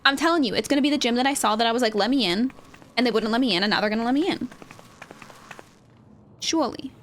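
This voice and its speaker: A woman's voice: on the high side, racing. A woman giving casual game commentary as a streamer, chatty and playful, often repeating words. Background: game music.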